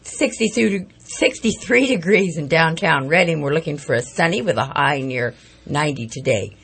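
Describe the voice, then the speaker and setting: Raspy, hoarse woman co-hosting local radio. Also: low voice, expressive, normal speed, a bit bright. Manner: emphatic, civic-minded remarks. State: hoarse from hay fever. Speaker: radio co-host.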